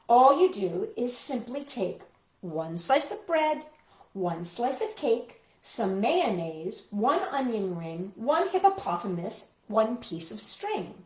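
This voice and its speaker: A woman's voice: fairly high pitch, reverberant, rough recording. A woman hosting a children's story time, reading aloud and chatting playfully and expressively straight to young listeners.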